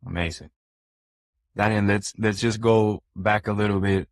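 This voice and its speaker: A male podcast host with a deep, level voice and little rise and fall. He puts short, direct questions to his guest and answers with brief encouragement. The sound is dry and close. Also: fairly even intonation, normal speed, no room sound. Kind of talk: interviewer questions.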